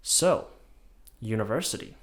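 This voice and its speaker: A young man, host of a podcast, talking casually and relaxed, often tagging on "right?". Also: lowish voice, measured pace. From China. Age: young adult. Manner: relaxed, conversational, rhetorical "right?".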